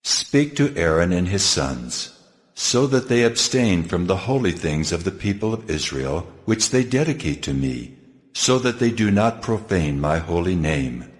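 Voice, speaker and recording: A man's voice: sits low, sing-song, unhurried. A man playing God in a dramatized scripture reading, solemn, declamatory and commanding. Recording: long cavernous reverb, music underneath. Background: music.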